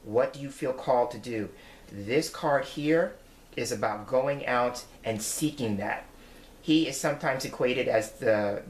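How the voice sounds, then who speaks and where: A man in his forties, a tarot reader, giving his weekly forecast in an earnest, reflective way as he explains each card. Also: mid-range voice. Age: 40s. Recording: roomy echo.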